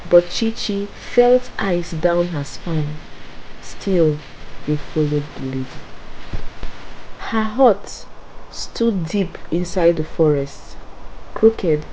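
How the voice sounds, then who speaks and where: A woman narrating a scary bedtime story as a dramatic storyteller, with suspenseful, deliberate pauses. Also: on the low side, mellow, slight background noise, poor audio.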